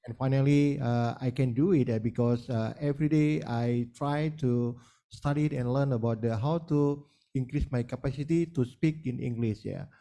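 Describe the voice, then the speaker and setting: A man's voice: mid-range voice, steady tone, moderate pace, warm tone. A man giving feedback as a panelist in a webinar over a video call, hesitant, repeating words and adding many "yeah"s.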